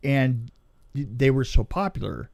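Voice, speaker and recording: A man's voice: mid-range voice, expressive, unhurried, gravelly, a little mellow, dry recording. A man casually telling anecdotes from film and TV work as a guest, chatty and given to acting out remarks.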